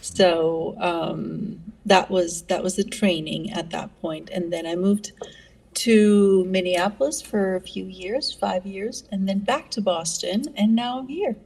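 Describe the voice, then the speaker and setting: A woman's voice: mid-range voice, slow, gravelly, a little mellow, husky, distant mic, rough recording. A middle-aged woman, a neurologist answering interview questions in a friendly way with many fillers. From Greece. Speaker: neurologist guest.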